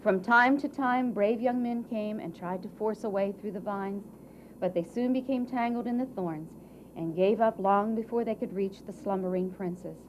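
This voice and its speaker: Theatrical woman storyteller, dull noisy recording. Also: medium pitch. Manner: theatrical, drawn-out phrasing with emphatic swells and hushes. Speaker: storyteller narrating a puppet show. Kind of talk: dramatic children's fairy-tale narration read to an audience. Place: live puppet show before an audience.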